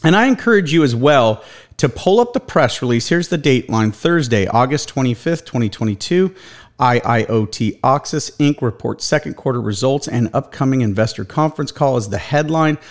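A man's voice: mid-range voice, expressive, moderate pace. A man hosting a corporate investor call in a formal, emphatic manner. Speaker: host of an investor conference call.

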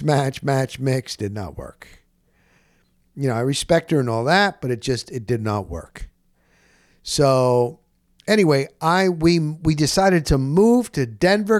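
A middle-aged man with a fairly high-set voice, recorded dry without room sound. He tells stories like an off-duty sportscaster, rambling and candid. His delivery is animated and salty, and he repeats phrases to drive them home. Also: no room sound. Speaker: sportscaster and podcast host. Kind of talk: casual rambling storytelling.

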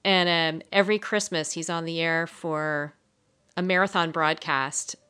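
Lady podcast cohost, lowish voice but bright and crisp, casual n interested. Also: fairly even intonation, measured pace. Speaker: podcast co-host. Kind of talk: casual conversational podcast talk.

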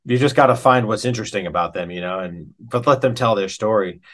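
A man's voice: lowish voice, fast talker. A middle-aged man chatting casually as an interview guest, telling stories in an enthusiastic, informal, slangy way.

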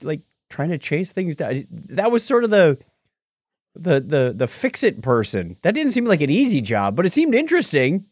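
A man's voice: on the high side, huge pitch swings, moderate pace, a little mellow, breathy edge, dry recording, studio quality. A man co-hosting a podcast, bantering casually and reading out listener feedback. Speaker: podcast co-host.

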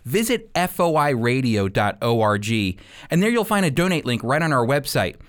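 A man's voice: mid-range voice, very animated, moderate pace. A man hosting a radio show and teaching the Bible, emphatic and repeating key points for stress.

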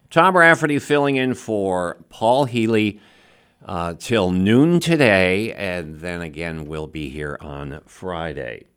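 A man's voice: sits low, fairly bright tone. A man filling in as a radio host, chatting casually in a folksy, bantering way. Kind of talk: casual local radio talk.

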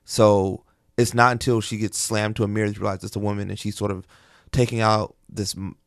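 A man's voice: on the low side, level tone, brisk, no room sound, studio quality. A man co-hosting a review show in casual conversation. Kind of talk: casual chat.

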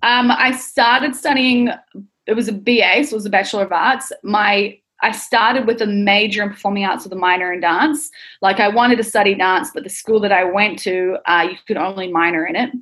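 A young woman from New Zealand speaks in a relaxed interview format with her home accent. Her pitch sits in the middle for a woman. She is informal and candid, thinking things through as she talks. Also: moderate pace. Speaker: interviewed athlete and fitness coach. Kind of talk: casual interview talk.

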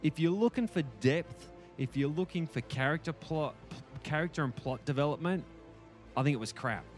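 A man's voice: a little high, expressive, normal speed. Casual Australian man with faint music behind. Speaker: guest podcaster and film reviewer. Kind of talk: casual podcast chat.

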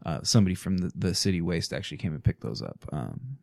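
A man's voice: deep voice, very animated, quick pace, vocal fry, a little mellow, no room sound. A man speaking, a podcast guest in casual, crude, joking chat in a small studio.

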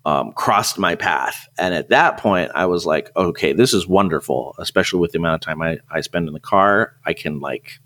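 A man's voice: sits low, normal speed, few pauses, fairly bright tone. A man co-hosting a podcast in casual conversation, often repeating words and restarting as he finds his phrasing. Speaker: podcast co-host.

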